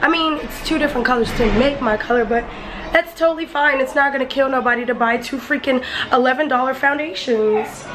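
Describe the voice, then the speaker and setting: A woman's voice: high-pitched, brisk. Chatty young woman vlogging at arm's length. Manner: chatty and playful, with slang and laughs. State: hoarse, voice partly lost. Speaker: beauty vlogger. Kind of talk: casual vlog chat. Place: home beauty room, indoors, and outdoors in wind. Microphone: handheld camera at arm's length. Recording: noisy handheld vlog audio.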